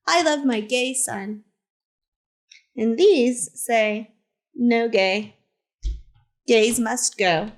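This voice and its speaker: A woman reading a picture book aloud to children as a teacher librarian, in simple, deliberate phrases with short asides. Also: a little high. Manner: simple, deliberate phrasing addressed to young listeners.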